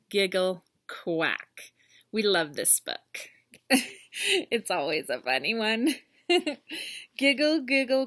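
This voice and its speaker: A woman around forty reading a picture book aloud to children in an expressive, teacherly style. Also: medium pitch. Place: outdoors on a deck among trees.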